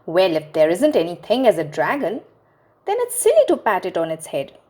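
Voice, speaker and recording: An earnest little boy from a children's storybook, reasoning things through in a lively, rising voice, as a woman reads his lines. The lines carry a little push of speed and a bright lift. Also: fairly low pitch, normal speed. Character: little boy puzzled by a growing dragon.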